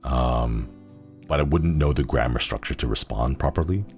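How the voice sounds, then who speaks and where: A man's voice: extremely low pitch, moderate pace, a little mellow, faint music behind. A man chatting casually on a livestream, reading viewer messages and rambling with frequent giggles. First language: Xenokuni (in-fiction language). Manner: rambling and easygoing, giggles often.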